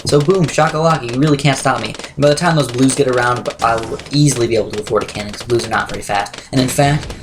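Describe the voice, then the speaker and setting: A young man with a slightly raspy voice, talking quickly through game strategy as a let's play commentator. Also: medium pitch, background noise. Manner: fast, chatty, self-correcting strategy talk. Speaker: gameplay commentator.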